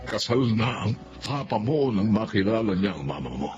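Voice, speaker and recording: A man playing a caring middle-aged uncle in a Filipino radio drama, speaking in an earnest, measured way. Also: on the low side, big pitch swings, muffled recording, over music. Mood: grave, explaining.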